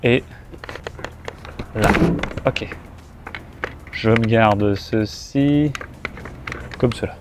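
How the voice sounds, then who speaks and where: A man's voice: on the low side, drawn-out words, long pauses, background noise. A man giving a casual hands-on product tutorial, chatting informally to the viewer and thinking aloud as a gear reviewer.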